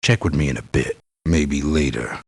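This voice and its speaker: An actor playing a blunt, cynical, tough-talking mercenary in a video game. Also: male voice, very deep voice, moderate pace, vocal fry, breathy edge, no echo, exaggerated voice. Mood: blunt, grumbling. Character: gruff, blunt male mercenary, cynical about pay. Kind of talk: video game character voice lines.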